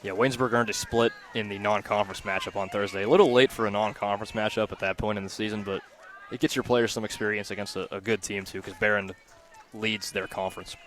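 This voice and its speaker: A man giving conversational color commentary and analysis on a radio softball broadcast. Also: fairly low pitch, steady tone, quick pace.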